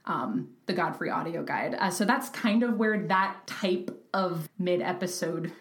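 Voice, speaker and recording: A woman's voice: lowish voice, lively intonation, unhurried. A woman, chatting casually as a guest on a podcast about her creative work. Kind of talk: casual interview chat. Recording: roomy echo, background room noise.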